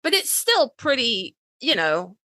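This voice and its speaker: A woman's voice: a little high, very animated, measured pace, bright, forceful tone, dry recording. A woman chatting casually as a podcast host, emphatic and swearing freely. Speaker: author.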